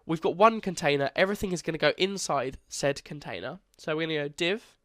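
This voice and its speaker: A man's voice: on the high side, a little fast, a bit bright, no room sound, studio quality. Congested young man teaching code. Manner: casual, chatty, self-correcting. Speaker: coding tutor. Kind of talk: screen-recorded coding tutorial.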